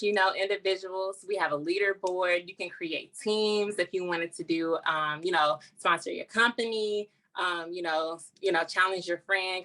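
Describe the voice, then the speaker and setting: A woman's voice: fairly low pitch, sharp tone, rough recording. A woman speaking earnestly as a nonprofit founder, explaining her work as an interview guest.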